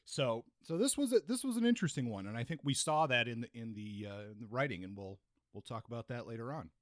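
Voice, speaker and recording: A man's voice: mid-range voice, expressive, fast talker, no echo. Man co-hosting, dry close sound. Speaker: podcast co-host. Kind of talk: conversational book discussion.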